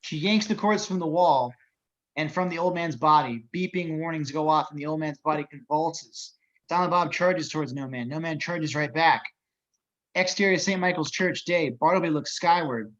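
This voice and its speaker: A man's voice: fairly high pitch, moderate pace, fairly bright tone, highs cut off. A man narrating the action of a script as reader of stage directions in an amateur table reading.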